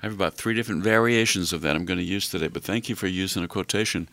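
An older man hosting a radio talk show, chatting slowly in a folksy way with many fillers. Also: deep voice, creaky, fairly bright tone, no echo.

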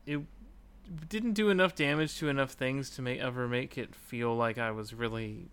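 A man's voice: medium pitch, moderate pace, a bit bright, clean tone. A man talking casually and jokily about video games as a podcast host, with informal, sweary phrasing. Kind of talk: casual video game discussion.

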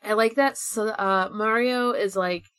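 A woman's voice: medium pitch, a little slow, a bit bright, no echo. A woman co-hosting a casual, joking discussion in an informal, amused and giggly way. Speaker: podcast co-host. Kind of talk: casual chat, joking commentary.

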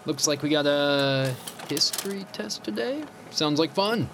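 An actor playing a chummy, self-important high school teacher, an American man with a casual, hesitant way of talking, in a naturalistic audio drama. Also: animated, unhurried, noisy background. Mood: teasing. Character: chummy, vain male high school teacher, former homecoming king.

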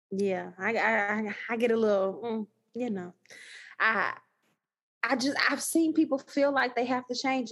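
A woman with a thick Texas accent, talking as a guest in a casual podcast conversation, animated and emphatic with frequent laughter. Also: mid-range voice. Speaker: guest, new scholar.

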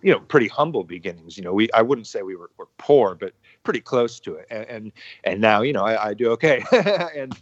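A man with a somewhat low voice talks through a dull, lo-fi signal in a dry, close sound. He stumbles and restarts often, swears easily and chuckles between thoughts. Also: no echo. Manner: halting, repeats words, chuckles, swears freely. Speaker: podcast guest, musician. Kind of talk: casual interview talk. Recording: muffled lo-fi sound.

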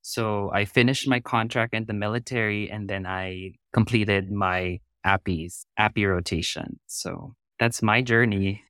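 Low-voiced man, interview guest. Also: steady tone, unhurried, hardly any pauses, fairly bright tone, dry recording. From Philippines. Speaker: podcast guest, pharmacy resident. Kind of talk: interview, recounting career.

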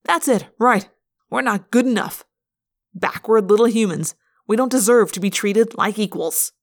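A woman's voice: mid-range voice, moderate pace, close to an everyday voice. A woman voicing a sardonic, accusing teenage boy in an audiobook, with a sneering, pressing delivery. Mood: pressing, bitter. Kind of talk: audiobook character voice.

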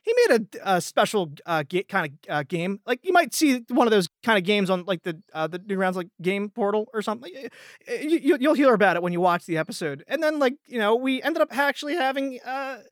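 Guy guest on a podcast, rambles and repeats himself, halting, really dry close sound. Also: sits high, animated, no room sound. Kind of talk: casual chat.

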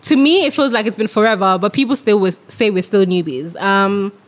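A woman's voice: mid-range voice, brisk. A young woman from England hosts a casual chat podcast. She talks frankly and with animation, laughing often. Her voice sits in the middle of the female range. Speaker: podcast co-host.

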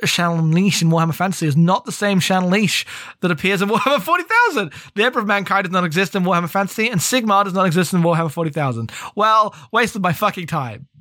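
Male podcast co-host, slightly high-pitched, informal and meandering book talk, close dry sound. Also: animated, quick pace, bright, forceful tone, no echo. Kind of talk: casual chat about a sci-fi book.

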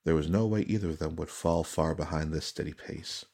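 A man reading dramatic fantasy narration as an audiobook narrator, solemn, measured and suspenseful. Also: extremely low pitch, vocal fry, darkish tone.

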